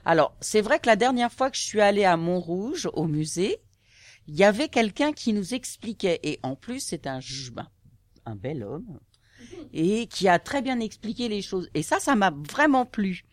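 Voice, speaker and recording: A woman's voice: medium pitch, big pitch swings, normal speed, dry recording. Hesitant woman, dry close sound. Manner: hesitant, searching for words. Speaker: interviewee. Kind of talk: casual personal testimony.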